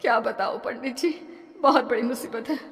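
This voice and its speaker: A woman's voice: on the high side, moderate pace, pitched above the performer's own voice, natural voice. A woman playing a frightened, anxious village aunt in a horror storybook, with an urgent, pleading delivery. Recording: reverberant, with background music.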